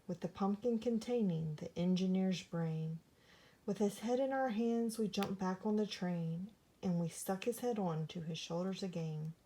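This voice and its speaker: Mellow-voiced woman reading a children's story. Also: medium pitch. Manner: theatrical, dramatic storytelling. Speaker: storybook reader. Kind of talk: expressive children's read-aloud of a rhyming story.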